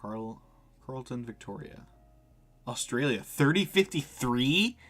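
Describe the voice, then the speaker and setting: A man's voice: medium pitch, animated, slow, fairly bright tone. A man doing casual live let's play commentary, chatting informally and reading game text aloud as he reacts. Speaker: game streamer.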